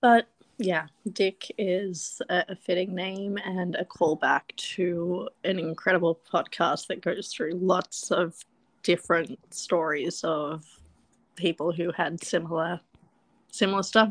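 A woman's voice: lowish voice, steady tone, takes it slowly, no echo. A woman co-hosting a candid podcast, speaking in an easygoing, reassuring way with plenty of casual "yeah" fillers. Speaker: podcast co-host. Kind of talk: candid conversational podcast chat.

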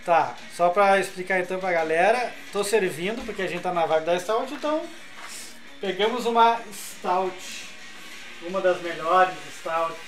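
A man, co-hosting a beer podcast and explaining things to listeners in an animated, casual way. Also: high voice, a little slow, faint music behind. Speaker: podcast co-host. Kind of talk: casual chat over beer.

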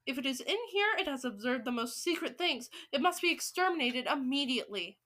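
A woman voicing a tyrannical, scornful Grand High Witch in a storybook, commanding and screeching. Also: animated, brisk, fairly bright tone. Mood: furious.

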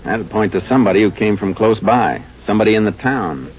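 A man acting a steady, methodical Texas Ranger investigator in a 1950s radio crime drama, terse, businesslike and matter-of-fact. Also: fairly low pitch, brisk, natural voice. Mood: focused, decisive. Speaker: lawman and narrator. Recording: muffled, noisy 1950s radio recording.

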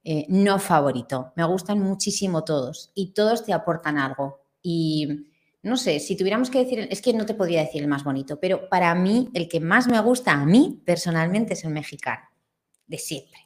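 A woman's voice: fairly low pitch, normal speed. A woman from Spain, a singing teacher, chatting enthusiastically as a guest with a Spanish accent. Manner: enthusiastic, expressive. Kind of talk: casual interview chat.

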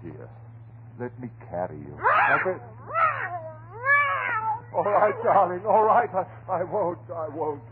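Frantic, devoted husband coming unhinged, slow and halting, melodramatic horror radio style, tinny old noisy recording. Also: male voice, a little high, wildly swooping pitch, heavily acted. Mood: despairing. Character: frantic, devoted, unhinged husband guarding his transformed beloved. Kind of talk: vintage horror radio drama acting.

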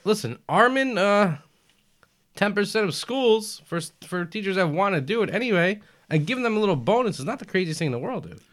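A young man talking in a relaxed chat, his voice riding fairly high and swinging up and down as he argues. He leans hard into his points and slips in casual swearing. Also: brisk. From United States, family from Albania. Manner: animated, emphatic, swears casually, says man and dude. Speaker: podcast guest.

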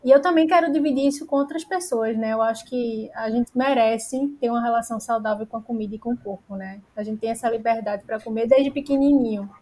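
A Brazilian woman with a distinctly high voice talks as a guest expert on nutrition. Her delivery is casual and explanatory, dotted with small tag questions that check for agreement. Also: brisk, hardly any pauses, dark tone. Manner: peppers speech with tag questions seeking agreement.

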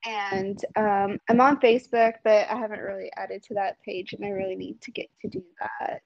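A woman's voice: medium pitch, fairly even intonation, moderate pace, vocal fry, dry recording. A woman giving casual interview answers, hesitant and self-deprecating. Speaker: interview guest, body-paint content creator. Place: remote phone call. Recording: dull, lo-fi phone call audio.

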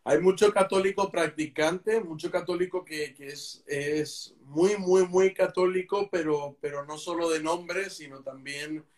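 Halting, explanatory man with a fairly high voice. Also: steady tone, darkish tone. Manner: explanatory, halting with repeated fillers. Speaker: missionary. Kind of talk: casual conversation.

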